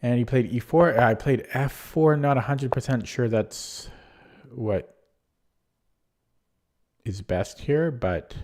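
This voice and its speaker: A man's voice: medium pitch, slow, long pauses, a little mellow. A man losing his voice, reviewing his chess game aloud in a casual, hesitant way with frequent ums and restarts. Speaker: chess streamer.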